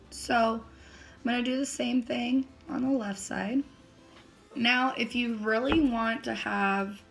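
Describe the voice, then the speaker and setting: A young woman whose voice is still a little raspy and gravelly from a recent bout of laryngitis. She talks at a middle pitch in a casual, chatty way, walking step by step through a makeup routine with plenty of "um"s. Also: a bit bright. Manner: chatty, filler-heavy step-by-step explaining. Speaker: beauty vlogger.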